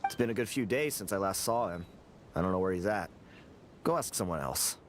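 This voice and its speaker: A man's voice: deep voice, lively intonation, fairly quick, noisy background. An actor playing a jealous male researcher in a game, with a sulky, scoffing, grudging way of talking. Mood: dismissive. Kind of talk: game voice acting. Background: game music.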